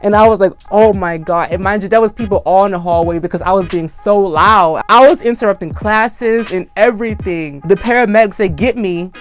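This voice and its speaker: Young male vlogger, high voice, energetic storyteller who acts out every quote; light music bed. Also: a little fast, hardly any pauses. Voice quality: high-pitched voice. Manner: animated, acts out screams and quotes. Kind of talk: casual storytime.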